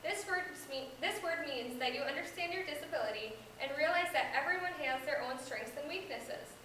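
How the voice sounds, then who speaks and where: A woman's voice: sits high, fairly bright tone. A young American woman playing a patient, supportive reading teacher in amateur reader's theater, with an instructive scripted delivery. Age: young adult. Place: echoey indoor room. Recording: echoey room, distant microphone, background hiss.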